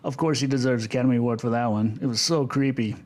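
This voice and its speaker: A man speaking as a guest in a casual podcast discussion, in a halting, conversational way that repeats phrases for emphasis. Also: medium pitch, darkish tone. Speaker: podcast guest, film composer. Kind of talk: casual podcast discussion about film music.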